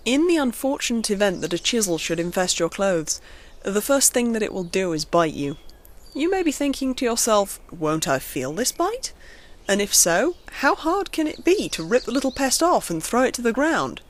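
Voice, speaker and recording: A narrator reading a horror story aloud in a measured, ominous way with dramatic pauses. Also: medium pitch.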